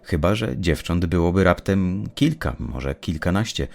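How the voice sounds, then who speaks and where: A man reading scripted narration of a dark story as an audiobook narrator. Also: low-pitched, lively intonation, a little slow, creaky.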